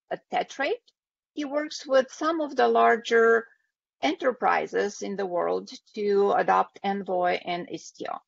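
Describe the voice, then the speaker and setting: A woman's voice: mid-range voice, gravelly, dry recording. A woman moderating a webinar, formally introducing the speakers from a script over a video call. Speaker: event moderator. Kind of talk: reading an introduction. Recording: muffled video call audio.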